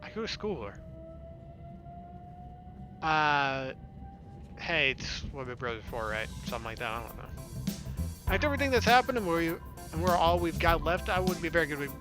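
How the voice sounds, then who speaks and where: Lively, higher-voiced man doing a let's-play. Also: moderate pace, long pauses. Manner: joking, sarcastic asides. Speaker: gaming video host. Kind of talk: casual let's-play commentary and read-aloud narration. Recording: game music behind the voice.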